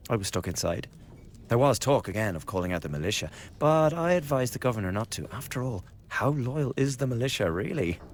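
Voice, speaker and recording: An actor playing a glib, scheming young revolutionary in a radio drama, chatty and insinuating with a blackly flippant manner. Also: male voice, mid-range voice, big pitch swings, a little fast, slight background noise. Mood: mocking, animated. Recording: studio radio drama with background ambience.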